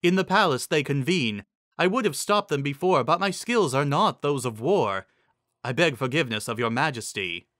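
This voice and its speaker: A man's voice: on the high side, animated, normal speed, fairly bright tone, no echo, clean studio sound. A man voicing a humble female zebra seer in a fantasy story, speaking deferentially in formal rhyme. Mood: apologetic. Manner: deferential, formal rhyming speech.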